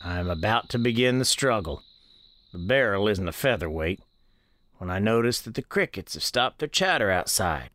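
An actor playing an elderly country storekeeper, narrating an audio drama in a folksy, deliberate, musing way. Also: male voice, fairly low pitch, lively intonation, fairly bright tone, no echo. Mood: hushed, watchful. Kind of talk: first-person scripted narration, audio drama.

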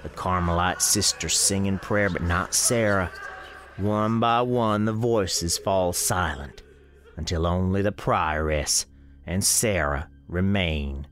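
A man playing a grave, folksy storekeeper, narrating an eerie radio drama in a measured, ominous way. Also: low-pitched, fairly bright tone. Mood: tense, grave.

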